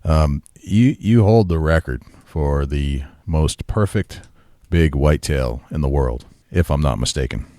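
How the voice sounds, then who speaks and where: He is an eager podcast host who keeps the chat going, with a very deep voice and a dry, close sound. He nods along and agrees often. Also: a little slow, dark tone, dry recording. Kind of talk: casual podcast interview.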